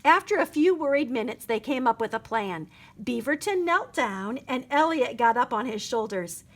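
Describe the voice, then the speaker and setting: A woman's voice: on the high side, expressive, moderate pace, fairly bright tone. A woman reading a picture book aloud expressively as a children's librarian at storytime.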